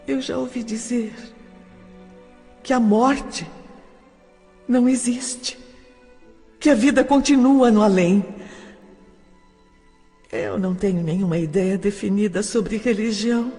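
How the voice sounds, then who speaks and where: A woman playing a grieving young daughter who prays haltingly and pleadingly to her dead mother in an audio drama. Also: on the high side, dark tone. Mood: anguished pleading. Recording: long hall reverb, music underneath.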